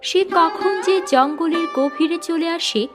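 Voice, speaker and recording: A woman's voice: very high voice, unhurried, over music. A woman reading scripted narration for a cartoon story.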